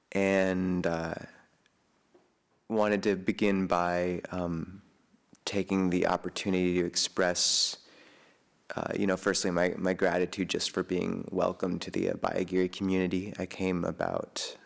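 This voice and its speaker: A man, a Buddhist monk, giving an informal Dharma talk in a soft, hesitant way with many pauses and fillers. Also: low voice, gravelly. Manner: chants Pali homage at the start. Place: monastery meditation hall.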